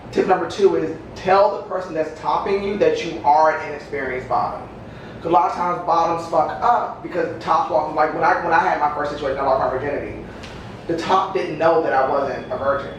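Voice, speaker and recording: A man with a slightly high voice talks candidly and at length, giving advice in a casual chat. He sounds far from the mic in a very echoey room, with clear noise behind him. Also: steady tone, brisk. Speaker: podcast panelist.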